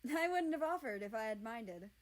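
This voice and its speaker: A woman voicing a friendly, considerate male healer in a fantasy storybook, explaining things gently. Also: on the high side, animated, moderate pace, natural voice. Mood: friendly, offering.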